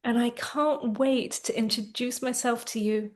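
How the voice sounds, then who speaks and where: Woman with a high-pitched, gentle voice. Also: steady tone, unhurried. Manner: gentle, instructive, many fillers. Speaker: therapist and coach. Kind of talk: guided tapping session.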